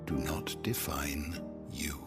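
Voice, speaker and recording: A man's voice: very deep voice, slow, heavily acted. A man playing a grave, kindly scholar in a video game, speaking formally and solemnly. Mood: solemn, gentle. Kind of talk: dramatic video game acting. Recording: background music under the voice.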